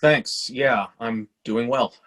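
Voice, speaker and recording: A man's voice: medium pitch, fairly even intonation, slow pace, fairly bright tone. A man playing a guarded, resentful adult son in a theatre reading, plain and understated. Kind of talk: readers theatre monologue and dialogue.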